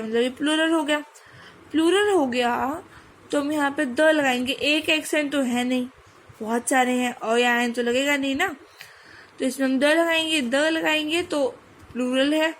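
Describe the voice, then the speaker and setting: A young Indian woman with a high voice teaches at an unhurried pace, spelling out letters and repeating sounds for her students. A faint background noise runs under the recording. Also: low-quality recording. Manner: spells letters slowly and drills pronunciation. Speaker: French language teacher. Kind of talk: online lesson mixing Hindi and English.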